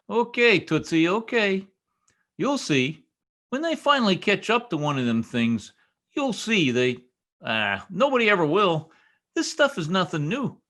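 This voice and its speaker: A man's voice: sits high, very animated, measured pace, fairly bright tone, full character voice. A man playing a blustery, stubborn American husband in an old-time radio comedy, emphatic and folksy as he garbles his words. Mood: stubborn, boastful. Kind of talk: 1940s radio comedy acting.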